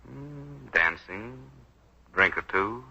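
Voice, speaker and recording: An actor playing a wisecracking, hard-boiled bodyguard with a flippant, wry manner in a 1940s radio drama. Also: male voice, medium pitch, animated, extremely slow, gravelly, bright, forceful tone, a little hiss or hum, heavily acted. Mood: wry, flirtatious. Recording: dull, lo-fi 1940s radio recording.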